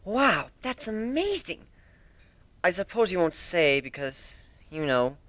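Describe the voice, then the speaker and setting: A sensible young mare who takes charge, voiced by a man reading a story. The talk is measured, tactful and businesslike, with a bright tone and a dry, close sound. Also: sits high, big pitch swings, no room sound, pitched above the performer's own voice, heavily acted. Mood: impressed, hesitant. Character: sensible, businesslike young female pony leader.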